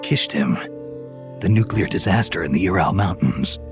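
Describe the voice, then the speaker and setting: A man playing a terse, gruff, stoic soldier hero in a video game drama. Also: low-pitched, expressive, normal speed, over music. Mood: subdued.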